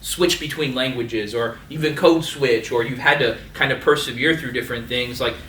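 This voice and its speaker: A man with a bright, forward voice who builds long, earnest arguments in a relaxed panel talk. He sits back from the microphone, and the room gives his voice a hollow echo. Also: mid-range voice, moderate pace, recorded from a distance, slight background noise. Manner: earnest, builds long points, tags lines with "right?". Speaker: panel guest. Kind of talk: casual panel discussion.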